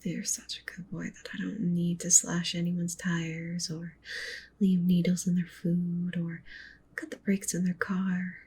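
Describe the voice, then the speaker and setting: A woman playing a doting, possessive wolf witch girlfriend in an ASMR roleplay, speaking softly and breathily, often in a whisper. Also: lowish voice, completely flat delivery, unhurried, husky, heavily acted. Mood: sweetly threatening. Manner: cooing, doting, with possessive menace. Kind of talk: ASMR roleplay, intimate soft speech and whispering.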